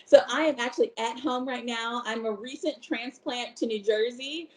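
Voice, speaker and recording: A woman from Brooklyn who works for a food bank, speaking from home in a measured, articulate way with occasional fillers. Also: fairly high pitch, poor audio. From Brooklyn, New York. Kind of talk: interview answers.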